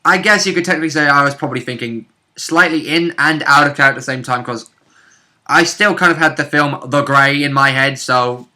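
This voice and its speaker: A man with a strikingly bright, forceful voice, pitched a little high, talks casually as a tabletop player. He is blunt and joking, and not shy of swearing. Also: brisk. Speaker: tabletop role-playing player. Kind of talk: casual chat.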